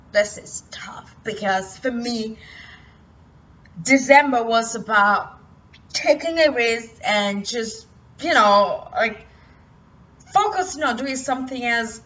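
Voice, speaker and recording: A young woman from Cameroon talking about her life in a personal motivational vlog, halting and full of restarts. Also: on the high side, pushed and bright. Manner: hesitant, halting, many restarts. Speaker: vlogger. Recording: distant, muffled, light noise.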